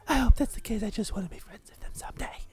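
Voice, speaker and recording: A woman's voice: fairly high pitch, very fast, slightly rough, soft background music. A woman voicing an earnest anime schoolgirl in a visual novel game. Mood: earnest, wistful. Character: earnest high-voiced anime schoolgirl who loves animals.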